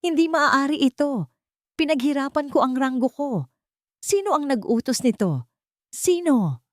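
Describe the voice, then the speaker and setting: A woman's voice: high voice, lively intonation, a little slow, no room sound, clean studio sound, voice pushed up. A Filipino woman voicing a proud, flustered male army major in a dramatic story. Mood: outraged.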